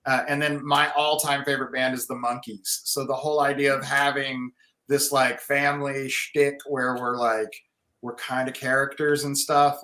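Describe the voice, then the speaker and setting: A man with a husky voice, a band frontman talking casually in an interview, rambling with many restarts. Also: medium pitch, pushed and bright, echoey room.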